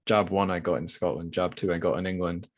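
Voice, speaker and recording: A man with a low voice and a dry, close sound hosts a podcast interview. He talks informally and loosely, working out his thoughts as he goes. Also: fairly even intonation, a little fast, dry recording. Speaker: podcast host. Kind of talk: casual literary interview.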